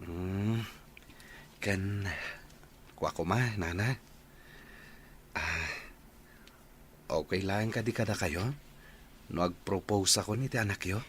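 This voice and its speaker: A man's voice: low-pitched, slow, long pauses, a bit bright, a little hiss or hum. A man playing a devoted, slightly shy young boyfriend in a radio drama, speaking politely and hesitantly with an Ilocano accent. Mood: hesitant, earnest.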